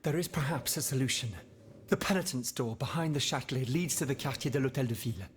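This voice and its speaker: A man playing an earnest, conspiratorial abbot in a video game, speaking gravely and formally. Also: mid-range voice, quick pace, natural voice. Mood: grave, urgent. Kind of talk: video game cutscene acting. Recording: faint background noise.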